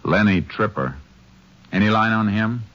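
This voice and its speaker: An actor playing a terse, authoritative Texas Ranger in an old radio western, plain and matter-of-fact. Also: male voice, on the low side, lively intonation, a little slow, heavy background noise. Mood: brisk, businesslike. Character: stern, terse Texas Ranger lawman, also narrating. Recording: dull old radio drama recording.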